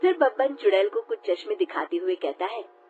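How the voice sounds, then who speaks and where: A woman's voice: mid-range voice, very animated, normal speed. A woman narrating a scripted cartoon story as a storyteller. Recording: dull lo-fi, noisy background.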